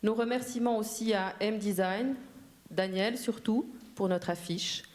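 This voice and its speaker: A mid-pitched woman speaks for a festival committee. She delivers prepared remarks in a brisk, formal way, naming people and sponsors one after another. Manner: brisk, formal, reading lists of thanks. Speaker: festival organizer. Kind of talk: prepared thank-you speech. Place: cinema hall.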